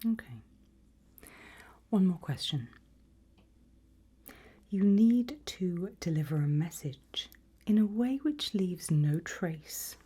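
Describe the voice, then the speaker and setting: A woman in an ASMR roleplay voicing a polite, quietly menacing HR interviewer in a breathy, deliberate whisper. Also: fairly low pitch, lively intonation, exaggerated voice. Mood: calm, probing. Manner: slow, deliberate, softly spoken.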